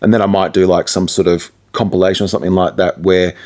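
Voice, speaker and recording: A man's voice: deep voice, flat delivery, fast talker, darkish tone. A man with an Australian accent talking casually as a guest in an interview about his work as a presenter and musician. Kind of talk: casual conversational interview talk.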